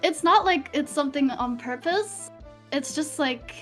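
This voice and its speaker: High-voiced woman, slight german accent, rambly and unsure, faint music. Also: a little slow. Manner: hesitant, rambling, giggly. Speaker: interview guest.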